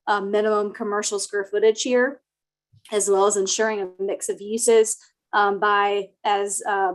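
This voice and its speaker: Soft-spoken woman with a flat delivery. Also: medium pitch. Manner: soft-spoken, many fillers. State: slightly sick. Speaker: project planner presenting to a town board. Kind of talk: formal presentation at a remote meeting. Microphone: computer mic on a video call.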